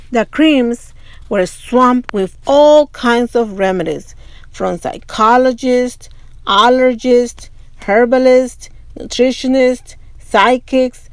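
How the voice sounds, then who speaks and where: Casting: female picture-book narrator, expressive, unhurried pace, dry close sound. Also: mid-range voice, no room sound. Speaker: storybook reader. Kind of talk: children's read-aloud narration.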